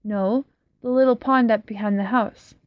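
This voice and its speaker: A woman's voice: on the high side, steady tone, normal speed, dry recording, natural voice. Earnest girl with a vivid imagination, voiced by a woman reader; dry, muffled sound. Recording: slightly dull, dry recording.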